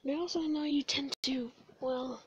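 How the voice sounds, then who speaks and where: A woman voicing an excitable, earnest young male skeleton in a comic dub, with dramatic, emphatic delivery. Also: sits high, moderate pace, dry recording, muffled recording, close to an everyday voice. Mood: earnest, pleading.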